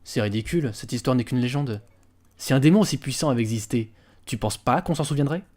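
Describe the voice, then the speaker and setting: A man's voice: medium pitch, fast, darkish tone, lightly acted. A man playing a cautious, skeptical adventurer and researcher in a fantasy audio drama, explanatory in manner. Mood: incredulous, worried. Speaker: voice actor in an amateur audio fiction.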